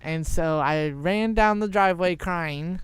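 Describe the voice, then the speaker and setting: A young man telling an improvised story in a halting, informal way. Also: high voice, clear, resonant tone, dry recording. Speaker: storyteller, show host.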